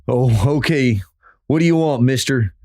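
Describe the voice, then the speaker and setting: A man plays a ranch foreman in an American radio western. His voice is of middling pitch. He is wary, plainspoken and stands his ground. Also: a little slow, darkish tone, natural voice. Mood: wary, defiant. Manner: defiant, plainspoken.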